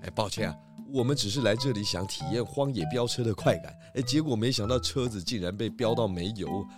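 Man playing a low-voiced, polite pig detective. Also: fairly quick, over loud music, natural voice. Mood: explaining sheepishly. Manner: polite, steady, slightly hesitant. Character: composed, polite male pig police detective. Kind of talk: children's audio drama acting.

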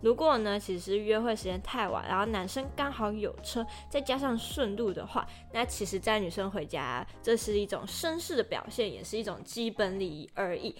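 A woman's voice: mid-range voice, big pitch swings, moderate pace, hardly any pauses, faint music behind. A woman co-hosting a podcast in casual, conversational talk. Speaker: podcast co-host.

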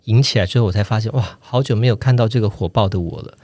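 A man's voice: lowish voice, fast talker, a little mellow, no room sound. A man around forty with a Taiwanese Mandarin accent, chatting casually and playfully as a podcast guest. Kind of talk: casual conversational chat.

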